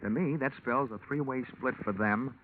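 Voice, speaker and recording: A man's voice: medium pitch, normal speed, a little hiss or hum, lightly acted. A man playing a wisecracking radio detective. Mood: pressing. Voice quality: wisecracking, dry. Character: hard-boiled male insurance investigator, wry. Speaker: detective lead and narrator. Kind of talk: 1950s radio drama acting. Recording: muffled old radio recording.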